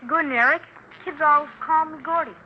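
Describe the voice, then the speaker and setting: A woman's voice: high-pitched, fairly quick, close to an everyday voice. A woman playing an earnest, streetwise seven-year-old American boy, plain-spoken and insistent, in a 1950s radio drama. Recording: muffled, hissy 1950s radio recording.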